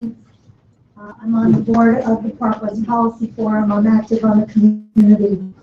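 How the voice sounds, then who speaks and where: A woman giving a hesitant public speech as a candidate at a meeting, with long pauses. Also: mid-range voice, monotone. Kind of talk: halting public campaign speech. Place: indoor public meeting room. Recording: echoey meeting room, dull and faintly noisy.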